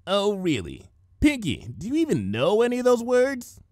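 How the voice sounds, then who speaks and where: A man plays a young flying mare in a read-aloud cartoon story. She is brash and casual, a little sceptical, with a raised pitch and an offhand way of talking. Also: huge pitch swings, normal speed, a little mellow, dry recording, studio quality. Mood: skeptical.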